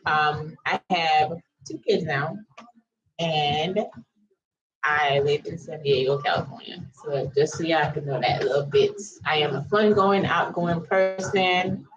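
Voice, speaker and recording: Low-voiced island woman, chatty and slangy. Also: slow pace, lo-fi sound. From US Virgin Islands. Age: around 30. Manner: chatty, slangy, animated asides. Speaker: storytelling vlogger. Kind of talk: casual personal storytime.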